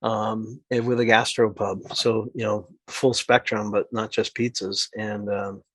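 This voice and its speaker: A man's voice: on the low side, level tone, measured pace, a bit bright, no room sound, rough recording. A man who makes mead, guest on a podcast, talking enthusiastically in long run-on stretches. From New Hampshire. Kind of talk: casual podcast interview.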